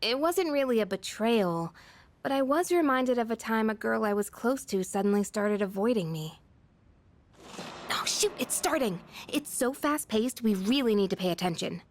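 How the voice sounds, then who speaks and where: An actress voicing a friendly, expressive, chatty teenage girl with an American accent in a video game. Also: fairly high pitch, lightly acted. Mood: excited, invested. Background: game music.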